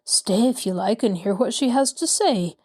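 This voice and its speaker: A woman voicing a courteous middle-aged male lawyer who speaks in a measured, reassuring way. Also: mid-range voice, expressive, darkish tone, slightly rough. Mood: calm.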